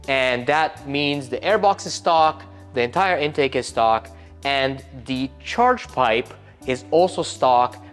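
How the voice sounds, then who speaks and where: A man hosting a technical car build video, explaining parts in a casual way with jokey asides, in a workshop. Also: mid-range voice. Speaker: car tuning shop host.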